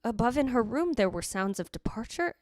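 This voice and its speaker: A woman's voice: on the high side, big pitch swings, a little fast, a little mellow, dry recording. A remorseful ghost of a dead wife , played by a woman, tells her story in a dry, close sound. Her delivery is formal and lyrical, solemn and tender, in the manner of old-time radio drama.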